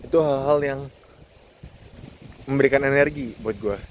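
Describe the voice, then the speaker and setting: A man in his twenties with an Indonesian accent gives a candid, casual, reflective monologue as a vlogger, often pausing and slipping in English phrases, while walking outdoors. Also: mid-range voice. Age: 20s. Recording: outdoor wind and ambient noise.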